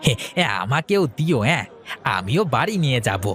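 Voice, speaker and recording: A man's voice: medium pitch, big pitch swings, normal speed, a bit bright, a faint crowd. A man voicing an easygoing, sociable village customer in a children's cartoon.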